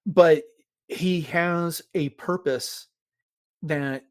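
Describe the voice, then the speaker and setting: A man's voice: fairly high pitch, steady tone, drawn-out words. Man chatting casually as a podcast host. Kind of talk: casual discussion recapping and reviewing a story.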